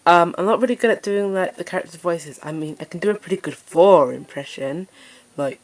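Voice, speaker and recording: Woman, very low deep voice, nervous casual host introducing her story channel, lots of fillers. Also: brisk. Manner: nervous, hesitant, many fillers. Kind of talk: casual self-introduction to camera.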